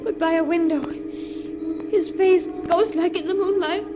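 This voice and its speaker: A woman's voice: very high-pitched, fairly even intonation, a little slow, music in the background. A woman playing a frightened young heiress in an earnest, melodramatic 1940s radio style, on an old recording. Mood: haunted, hushed dread. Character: frightened twenty-year-old heiress haunted by visions.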